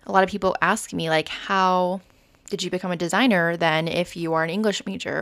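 A woman's voice: on the low side, creaky, fairly bright tone. Chatty young woman hosting a podcast. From Oklahoma, USA. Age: 20s. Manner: friendly, chatty, informal with frequent fillers. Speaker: podcast host. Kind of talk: casual solo podcast chat.

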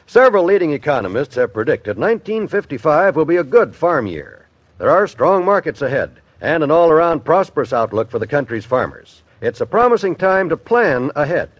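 A man's voice: fairly high pitch, no echo. A man with an American accent reading a scripted 1950s radio announcement. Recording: dull, dry old radio recording.

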